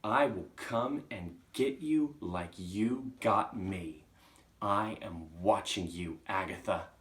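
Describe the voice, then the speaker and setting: A man voicing a menacing male ghost with slow, deliberate, drawn-out commands in a storybook reading. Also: lowish voice, bright, forceful tone. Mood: menacing, commanding.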